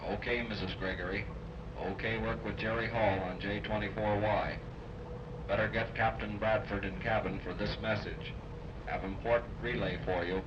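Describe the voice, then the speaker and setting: An actor playing a gruff, terse radio operator who speaks in clipped formal procedure, in 1930s radio serial style. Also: male voice, on the low side, level tone, moderate pace, lightly acted. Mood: curt. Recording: dull, noisy 1930s radio recording.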